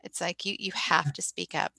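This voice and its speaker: A woman's voice: fairly low pitch, moderate pace, creaky, bright, forceful tone, breathy edge. A woman hosting an interview, offering brief, supportive interjections in casual chat. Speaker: interview host.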